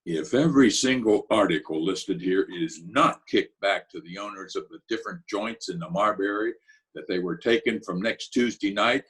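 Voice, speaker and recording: A man's voice: fairly low pitch, moderate pace, fairly bright tone, lightly acted. A man playing a blunt, commanding New York tough guy in an old-time radio play, speaking in formal gangster slang. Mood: threatening.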